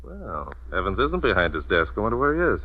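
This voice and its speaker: An actor playing a shrewd, composed detective in a 1940s-style radio mystery, speaking in a measured, formal, assured way. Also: male voice, deep voice, big pitch swings, slightly rough, heavily acted. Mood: assured, knowing. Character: shrewd, composed amateur detective leading a murder inquiry. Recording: muffled vintage radio drama recording.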